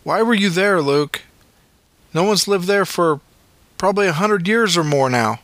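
A man voicing a worried male paramedic who barks at the driver and calms his injured patient. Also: sits high, a little slow, no room sound, voice pushed up. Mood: somber. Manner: urgent, calls the patient buddy. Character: worried male paramedic, urgent but comforting.

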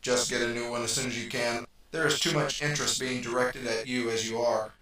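A man voicing a cold, bossy alien entity that speaks in a stern, flat, commanding way. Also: mid-range voice, fairly quick, heavy reverb, distant mic, music in the background, close to an everyday voice, rough recording.

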